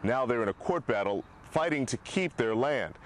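Measured male news reporter. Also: mid-range voice, a little hiss or hum. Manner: steady, measured delivery. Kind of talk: scripted news narration.

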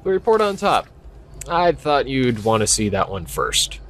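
A man's voice: medium pitch, sing-song, a little slow, a little hiss or hum. A man acting a young, skeptical park ranger in an audio drama, speaking casually and hesitantly with a wry edge. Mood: wry, doubtful. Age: young adult. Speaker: voice actor. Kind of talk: naturalistic audio drama dialogue.

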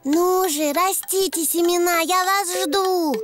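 Eager, very high-pitched little donkey boy. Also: steady tone, takes it slowly, music in the background. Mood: busy, eager. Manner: bright-eyed, childlike, exclamatory. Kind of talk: children's cartoon dub.

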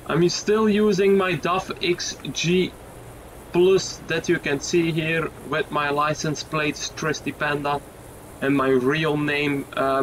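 A young man from Belgium with a Dutch accent, a native Dutch speaker, giving casual, hesitant gameplay commentary in English. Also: fairly high pitch. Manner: plain, hesitant, with frequent fillers. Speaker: gaming YouTuber. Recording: game truck sounds underneath. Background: loud truck engine and road noise.

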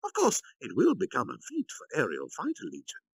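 A proud, calculating army commander, acted out by a man reading a story, speaking in grandiose, theatrical swoops. The pitch leaps upward and ranges very widely, with a gravelly edge, recorded close and dry. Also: wildly swooping pitch, brisk, no echo, exaggerated voice. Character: scheming, self-important male military commander, calculating and proud.

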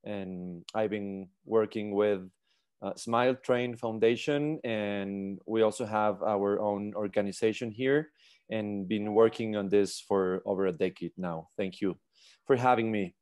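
A man introducing himself as a panelist on a video call, polite and pausing often with fillers. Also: lowish voice, a little mellow, clear, resonant tone. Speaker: plastic surgeon panelist.

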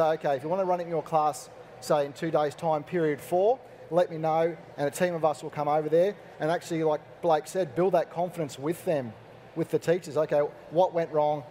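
Fast-talking, mellow-voiced IT manager, a man. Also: a little high, a little hiss or hum. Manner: brisk, enthusiastic, practical. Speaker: school IT manager. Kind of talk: panel discussion answer.

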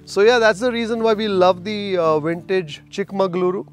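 Chatty man, slightly high voice. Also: steady tone, moderate pace, soft background music. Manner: chatty, fluent, with frequent "uh" fillers. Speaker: travel vlogger. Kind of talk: casual vlog narration to camera. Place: outdoors in a garden.

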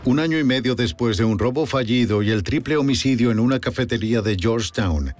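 A man giving measured scripted narration for a crime documentary, recorded as a voice-over. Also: mid-range voice.

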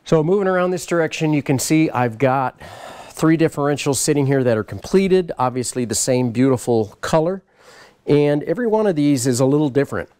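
A middle-aged man giving a casual walk-around tour of his race car shop, explaining parts in a relaxed, practical way. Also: fairly high pitch, brisk, clear, resonant tone. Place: large garage workshop, indoors.

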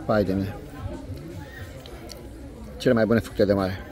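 A man doing a casual food review vlog in short, unhurried, appreciative phrases, recorded outdoors on a handheld phone. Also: fairly low pitch, little pitch movement, a crowd in the background. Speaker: food vlogger. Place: outdoor restaurant terrace by a lake.

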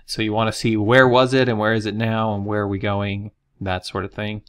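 Man, lowish voice, relaxed tutorial voice-over, thinks aloud, plain desk mic. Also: a little fast, clear, resonant tone. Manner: casual, explanatory, thinks aloud with fillers. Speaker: marketing consultant. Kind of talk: screen-recorded software tutorial.

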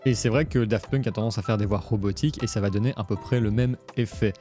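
A man's voice: on the low side, fast talker, warm tone. A man presenting a music production tutorial in a casual, step-by-step explanatory way. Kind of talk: casual screencast tutorial narration.

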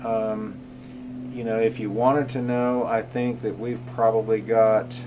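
A man's voice: on the low side, flat delivery, a little slow, music in the background, rough recording. A middle-aged man talking casually to camera on an outdoor cabin porch, rambling with many ums and uhs. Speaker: homestead YouTuber.

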